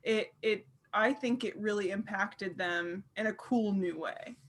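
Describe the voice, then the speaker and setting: A woman's voice: mid-range voice, unhurried, a little hiss or hum. A woman co-hosting a podcast, speaking in casual roundtable conversation with an earnest, thoughtful and emphatic manner. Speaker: podcast co-host.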